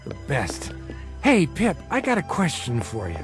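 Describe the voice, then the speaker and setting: A man's voice: on the high side, huge pitch swings, a little slow, faint music behind. An actor playing a desperate, remorseful middle-aged father and small-time hustler, urgent and emotional, in radio-drama style. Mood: affectionate. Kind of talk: dramatic radio-play acting.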